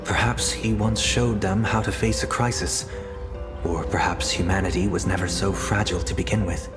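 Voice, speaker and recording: An actor voicing a calm, refined, idealistic male leader in a video game, with eloquent, measured, formal speech. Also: low-pitched, music in the background, lightly acted. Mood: calm, reflective. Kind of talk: game voice acting.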